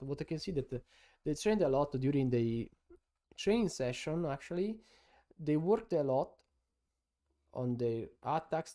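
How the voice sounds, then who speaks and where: Italian man as a live-stream sports analyst: gravelly, mellow, halting non-native English. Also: mid-range voice. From Italy. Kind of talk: casual live-stream commentary.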